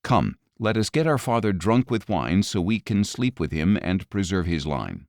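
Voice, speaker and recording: Deep-voiced man reading as a determined elder daughter. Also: big pitch swings, normal speed, darkish tone, no room sound, studio quality. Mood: resolute. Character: scheming elder daughter, determined young woman. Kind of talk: audio Bible storytelling.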